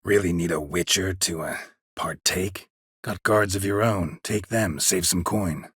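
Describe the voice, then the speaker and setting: A gruff, stoic monster hunter from a video game. His low voice is extremely husky and rasping, recorded very dry and close. He speaks in clipped, deadpan phrases with a weary, dry edge. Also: unhurried, dry recording, full character voice. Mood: skeptical, deadpan. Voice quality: very husky, gravelly rasp. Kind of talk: video game character dialogue.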